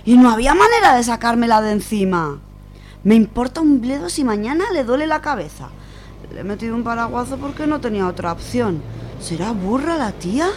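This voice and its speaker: An indignant, rattled young woman in a comic radio serial, played by a woman. She talks in a dramatic, colloquial way with a fairly high voice and an accent from Spain, over faint noise. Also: animated, fairly quick, natural voice. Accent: Spanish, from Spain. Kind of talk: comedic radio serial acting.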